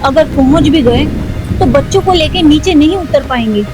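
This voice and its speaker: Lady playing anxious careful parent in a toy cartoon, high voice, music going. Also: expressive, normal speed. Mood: worried. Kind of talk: children's toy cartoon story acting.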